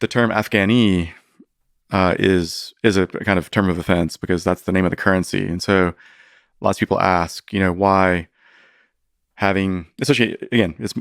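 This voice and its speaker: A man's voice: low-pitched, fairly even intonation, moderate pace, no room sound, clean studio sound. A man appearing as a historian guest on a podcast, answering thoughtfully with hedges and frequent restarts. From United States. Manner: thoughtful, hedging, with many restarts. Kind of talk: podcast interview.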